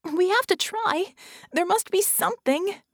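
A woman voicing a desperate, frustrated heroine in an audiobook, emphatic, shrill and pleading. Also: measured pace, dry recording, clean studio sound. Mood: frantic. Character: desperate, frustrated young heroine, screaming in dismay.

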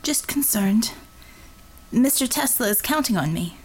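A woman's voice: a little high, animated, unhurried, breathy edge, rough recording. A woman voicing a nervous young woman driver who speaks in a small, hesitant voice that halts and stammers, read as an audiobook character. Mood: anxious.

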